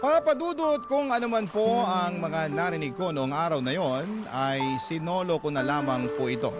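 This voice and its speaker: A man's voice: mid-range voice, close to an everyday voice. Man acting an earnest, reflective young Filipino narrator. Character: sorrowful young man recounting his family's troubles. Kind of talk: scripted dramatic letter narration for Filipino radio.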